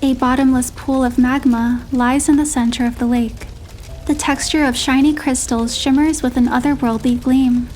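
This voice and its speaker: A woman narrating a romantic first-person story in a measured, expressive storytelling manner. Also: high-pitched, slight background noise. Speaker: narrator and heroine voice.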